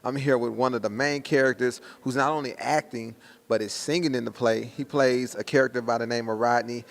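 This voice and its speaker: A man's voice: medium pitch, steady tone, brisk, creaky. A man hosting a promotional interview in slangy hype talk, often ending phrases with "man". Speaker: host.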